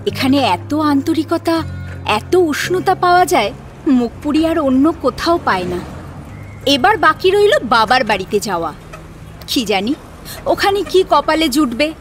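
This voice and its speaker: High, expressive female storyteller. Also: measured pace, pauses often. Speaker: story narrator. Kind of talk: expressive dramatic audiobook narration. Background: music.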